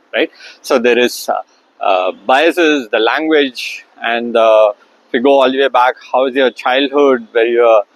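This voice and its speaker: A middle-aged man talks through his ideas in a loose, reflective monologue. His pitch sits in an ordinary middle range for a man, and he often pauses on "uh" and restarts his sentences. He was recorded on his own camera, set up across the room. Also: no room sound, rough recording. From India. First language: Tamil. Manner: thinking aloud with many fillers and restarts. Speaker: host.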